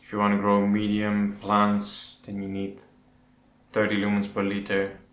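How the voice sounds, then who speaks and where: Male Dutch host, casual Q&A delivery, thinks aloud, flat intonation, roomy sound. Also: lowish voice, measured pace. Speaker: host of an aquascaping channel. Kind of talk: casual question-and-answer talk to camera. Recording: roomy echo with background noise.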